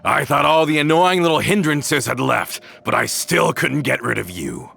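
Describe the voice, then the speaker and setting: An actor playing a bitter, vengeful male villain who accuses and rises to shouts, in a game cutscene. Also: a little high, normal speed, vocal fry, a little hiss or hum, exaggerated voice. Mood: cold menace. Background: music.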